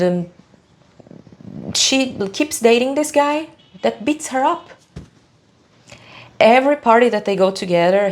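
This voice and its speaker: A woman's voice: fairly low pitch, darkish tone. A woman from Brazil with a Brazilian accent speaking English as a second language, giving blunt, emphatic opinions to the camera with frequent hesitations. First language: Portuguese. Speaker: video channel host.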